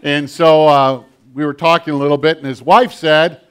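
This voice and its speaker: An older man preaching a sermon with a children's message, animated and storytelling with a big voice. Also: fairly high pitch, moderate pace, smooth.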